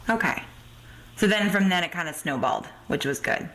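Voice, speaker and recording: Low-pitched American woman interviewing. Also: expressive, brisk, a bit bright, poor audio. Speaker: podcast host, interviewer. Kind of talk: casual interview chat.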